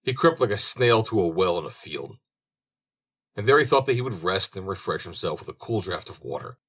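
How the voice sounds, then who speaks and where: A man reading a storybook as an audiobook narrator, with expressive delivery. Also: deep voice, brisk. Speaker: audiobook reader.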